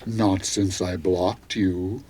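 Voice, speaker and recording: A man's voice: deep voice, steady tone, slow pace, a little mellow, a little hiss or hum. A man playing a laid-back, teasing late-night seventies music DJ with a jokey, self-satisfied manner.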